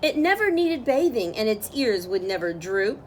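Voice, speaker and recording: A woman reading a picture book aloud as a storyteller, with expressive, drawn-out phrasing for young listeners. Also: a little high, a little hiss or hum, low-quality recording. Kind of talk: expressive children's read-aloud narration.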